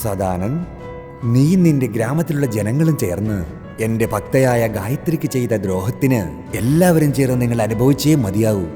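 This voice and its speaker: A man voices a bodiless god passing stern judgment in a devotional cartoon. The voice is dark and mellow, wrapped in long reverb, with faint music underneath. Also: mid-range voice, lively intonation, normal speed, natural voice. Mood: stern, solemn. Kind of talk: animated devotional story voice acting.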